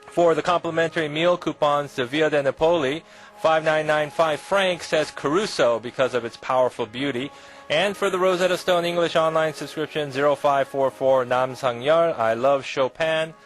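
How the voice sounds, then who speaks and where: A man's voice: a little high, normal speed, rough recording. Polite male radio host over music. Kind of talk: evening radio show hosting.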